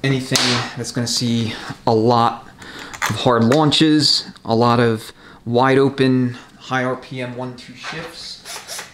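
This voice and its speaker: A man's voice: mid-range voice, flat delivery, takes it slowly. A man explaining a mechanical job step by step in a casual, practical way while he works. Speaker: transmission rebuilder giving a tutorial. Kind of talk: casual hands-on instructional commentary. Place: indoor repair workshop. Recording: echoey workshop, noticeable noise, tool clicks.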